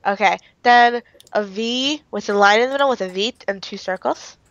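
A woman's voice: medium pitch, normal speed, fairly bright tone. A woman chatting casually as a friend playing along, picked up in a dull, off-mic recording. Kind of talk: casual chat. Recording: dull, roomy, off-mic pickup.

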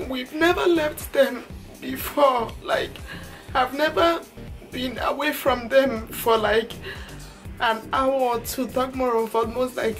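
A woman in her thirties, a mom vlogger, chatting heartfelt and casual to her viewers with lots of filler words, filmed on a camera held at arm's length. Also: high voice. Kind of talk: casual vlog talk to viewers.

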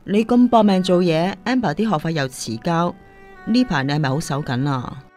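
A woman's voice: fairly low pitch, normal speed, a little mellow, faint music behind, natural voice. A woman acting in a Cantonese radio drama as a caring, firm singing teacher, earnest and admonishing, with a Hong Kong accent.

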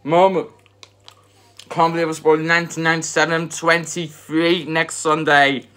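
A young man in his twenties talks into a phone camera in his bedroom, slow and earnest, circling back to the same point again and again. His voice stays flat and level, with hardly any rise or fall. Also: on the high side. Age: 20s. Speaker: vlogger. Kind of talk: casual eating vlog chat. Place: bedroom at home, indoors.

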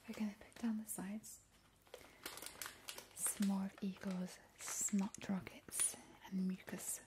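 A woman whispering softly as a playful, sinister vampire hostess in an ASMR roleplay, calling the listener darling. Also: medium pitch, level tone, lightly acted. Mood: teasing. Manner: soft unvoiced whisper, slow and teasing. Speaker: ASMR host. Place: indoors at a kitchen table, making a Halloween treat. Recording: close whisper with loud crinkling wrappers and clicks. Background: crinkling packaging and clicks.

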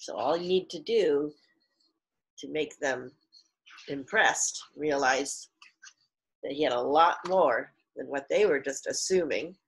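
Middle-aged female teacher for picture-book read-aloud; lowish, breathy-husky voice, expressive and explanatory delivery. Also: takes it slowly, long pauses, rough recording. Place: small living room at home, indoors.

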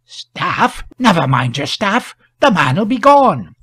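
A man's voice: high-pitched, big pitch swings, slow, sharp tone, husky, voice pushed up, exaggerated voice. Man playing a grim, thick-toned, commanding hangman. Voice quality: thick tones. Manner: formidable, peremptory. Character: grim, sinister, commanding hangman gentleman in grey.

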